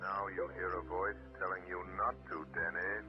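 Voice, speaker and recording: A man playing a deep, commanding unseen avenger, old radio drama, lo-fi broadcast with music behind. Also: level tone, normal speed, lightly acted. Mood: warning. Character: mysterious male crime fighter, invisible voice. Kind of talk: 1940s radio acting.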